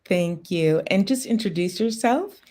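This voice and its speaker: A woman's voice: mid-range voice, animated, unhurried, a little mellow. A woman hosts an online event and gives short, polite introductions of the speakers. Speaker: event host. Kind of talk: welcoming introduction.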